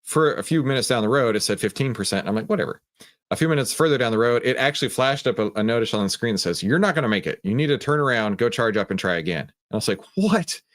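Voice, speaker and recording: A man's voice: mid-range voice, expressive, fast, fairly bright tone. A man hosting a live stream in a casual, conversational way, explaining emphatically, posing questions and often checking in with a tag question. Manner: conversational, often tags statements with "right?"; emphatic, explanatory, poses questions and answers them. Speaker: host of a live stock trading stream. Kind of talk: casual live market commentary, chatting with viewers.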